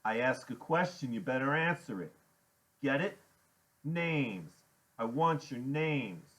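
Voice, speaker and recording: A man voicing a big, mocking, menacing biker gang boss in an audiobook, with rough slangy commands. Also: medium pitch, lively intonation, normal speed, a bit bright, pitched above the performer's own voice, natural voice, poor audio. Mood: commanding.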